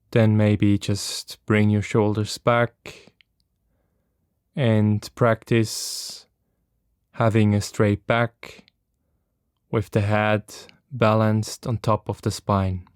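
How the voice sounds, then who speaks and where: A man leads a guided meditation in a clear, smooth voice recorded very dry and close. His instruction is gentle and very slow, with long pauses between phrases. He sounds like a practiced teacher taking listeners through a body scan. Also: on the low side, level tone, no room sound.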